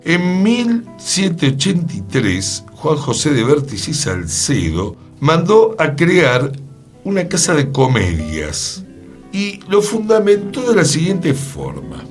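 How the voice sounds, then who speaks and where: A man's voice: a little high, huge pitch swings, slow pace, soft background music. A man with a Buenos Aires accent presenting a radio show in a chatty, storytelling way. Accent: Argentine, Buenos Aires. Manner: chatty, storytelling, poses questions to co-host. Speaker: radio host. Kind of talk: conversational radio presenting about theatre history.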